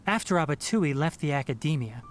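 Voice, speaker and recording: An actor playing a thoughtful, analytical young scholar in a game, with a measured, deliberating manner. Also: male voice, fairly high pitch, expressive, slight background noise, lightly acted. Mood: pensive. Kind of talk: game dialogue voice acting.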